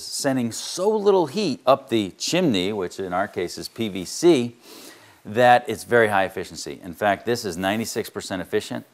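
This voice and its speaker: A middle-aged man with a low, deep voice guides a listener through an explanatory on-site tour as a home energy contractor. He sounds earnest and plain-spoken, dropping frequent "uh" fillers as he goes. He is recorded indoors in a wood-walled barn on a clip-on mic.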